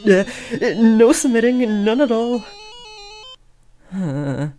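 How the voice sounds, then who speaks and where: A man's voice: high voice, expressive, takes it slowly, mellow, faint music behind. A young man acting an awkward, earnest teenage boy who is trying to be a supervillain, hesitant and often correcting himself, in a comedic audio roleplay. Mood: sheepish.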